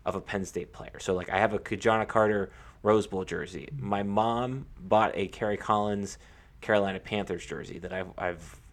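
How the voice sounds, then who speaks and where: A young American man co-hosting a podcast, talking casually about sports with a halting, repetitive storytelling manner. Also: on the low side, steady tone. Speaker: podcast co-host.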